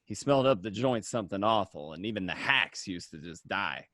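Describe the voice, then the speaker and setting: A man's voice: deep voice, animated, a little fast, a bit bright, no echo, clean studio sound. A man playing a wry, matter-of-fact mobster who narrates his prison memories conversationally in a dramatic script reading. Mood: wry reminiscing.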